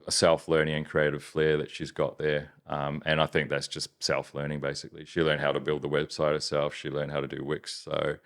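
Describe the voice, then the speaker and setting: A man from New Zealand with a softened Kiwi accent, chatting casually as a business owner in an interview, rambling with many restarts. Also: a bass voice. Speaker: business owner, podcast guest.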